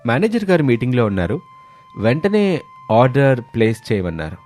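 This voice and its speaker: Guy as sly pushy coworker, fake humble, lively mid-range voice, music underneath. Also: mid-range voice, a little fast, natural voice. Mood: falsely humble. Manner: urging, ingratiating. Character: sly male office colleague feigning humility, pushy. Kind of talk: animated moral story dialogue.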